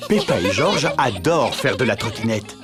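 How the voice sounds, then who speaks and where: A man's voice: medium pitch, big pitch swings, normal speed, loud music behind the voice, close to an everyday voice. A man playing the friendly storytelling narrator of a children's cartoon, reading scripted narration. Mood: cheerful.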